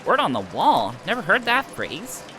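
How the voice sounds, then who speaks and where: High-pitched wry male streamer. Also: huge pitch swings, moderate pace, music in the background. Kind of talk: reading game dialogue aloud.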